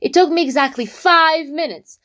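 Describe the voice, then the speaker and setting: A woman's voice: sits high, moderate pace, sharp tone. A woman playing an earnest young amateur detective, explaining a case theatrically in an audio drama. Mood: dramatic, confident.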